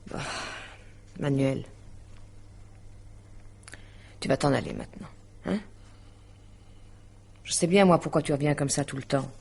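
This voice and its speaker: An actress playing a weary, alarmed astrologer and bitter divorcée, hesitant and sighing, in 1950s French radio drama style. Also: female voice, very deep voice, sing-song, moderate pace, gravelly, darkish tone, husky, full character voice.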